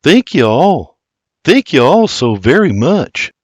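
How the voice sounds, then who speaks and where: A man's voice: sits high, wildly swooping pitch, a little slow, no echo, highs cut off, voice pushed up, heavily acted. A man voicing a shy, humble young Irish farmhand with an Irish accent who speaks earnestly and stammers.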